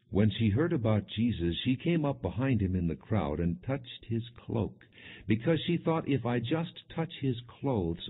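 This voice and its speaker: A man narrating an audio Bible in a measured, solemn, scripted reading style, as an audiobook narrator. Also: deep voice, breathy edge. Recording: dull, muffled lo-fi audio.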